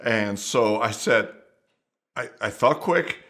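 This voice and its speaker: A man's voice: fairly low pitch, expressive, slow pace, fairly bright tone. A middle-aged man telling a personal story at home in a rambling, conversational way, often restarting his sentences and adding asides. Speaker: storyteller, mission worker. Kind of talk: casual spoken anecdote.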